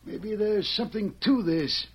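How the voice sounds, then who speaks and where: Raspy, folksy Old West sheriff. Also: male voice, high-pitched, measured pace, fairly bright tone, exaggerated voice. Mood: curious, musing. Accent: American. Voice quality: raspy, gravelly. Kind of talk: old-time radio western acting. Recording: dull, lo-fi old radio recording.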